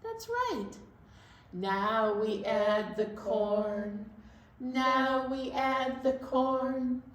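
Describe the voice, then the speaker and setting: A woman hosting a children's library storytime in a sing-song style, with simple singing and gentle chat. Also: high voice, animated, very slow. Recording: roomy echo, distant microphone.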